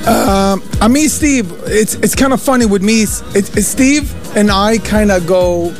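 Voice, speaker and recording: A man's voice: sits high, measured pace. A man, a DJ being interviewed, talking casually with many fillers and restarts. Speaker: DJ guest. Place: festival radio booth. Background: music.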